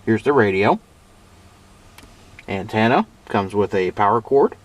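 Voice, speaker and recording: A man's voice: on the low side, animated, a little slow. A man giving a playful, theatrical hands-on demonstration with storytelling narration, recorded inside the cab of a truck. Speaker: vlogger demonstrating vintage gadgets. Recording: clear background noise in vehicle cab.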